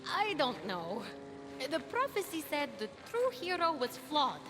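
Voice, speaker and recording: A very high, animated young heroine for a video game. She is plucky and earnest, an admiring little sister at heart. Loud music plays behind her. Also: measured pace, full character voice. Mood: hesitant, doubtful. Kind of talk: video game cutscene acting.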